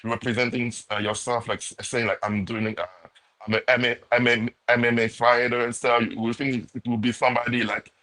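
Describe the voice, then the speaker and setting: A man's voice: mid-range voice, little pitch movement, a little fast, bright, forceful tone, rough recording. A man whose first language is not English, chatting casually with frequent laughs, searching for words. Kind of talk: casual live chat.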